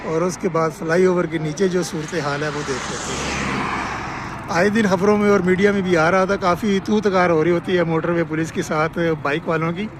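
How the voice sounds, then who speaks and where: A man with a Pakistani accent giving a matter-of-fact, explanatory on-site road update as a vlogger outdoors. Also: fairly high pitch. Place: outdoors on a roadside by construction works. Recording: loud outdoor traffic and vehicle noise. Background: traffic, vehicles, outdoor ambience.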